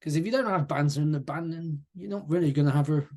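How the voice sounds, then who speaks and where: Chatty English host, slightly higher voice. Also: male voice, fast, dark tone. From southwest England. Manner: chatty, jokey, banter-loving. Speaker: interview host, musician. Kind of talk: casual interview chat.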